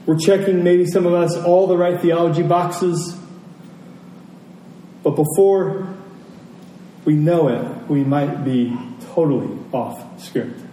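A man preaching a sermon in a deliberate, emphatic way, with dramatic pauses between phrases. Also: on the high side, mellow. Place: church service, speaking to congregation. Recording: roomy echo, distant mic, faint noise.

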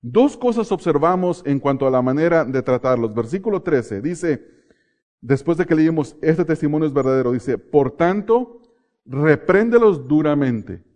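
A middle-aged Mexican-born man delivers a sermon in a fairly high voice. He asks his listeners questions, then answers them himself. He drags out the key phrases for weight. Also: expressive, dark tone. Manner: slows down to stress key phrases. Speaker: preacher.

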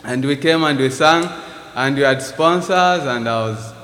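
A man's voice: medium pitch, unhurried, a bit bright, long reverb tail. A man from Zambia with a Zambian accent, preaching a sermon in church and reading scripture earnestly. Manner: earnest, reads scripture with rising emphasis. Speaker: visiting preacher.

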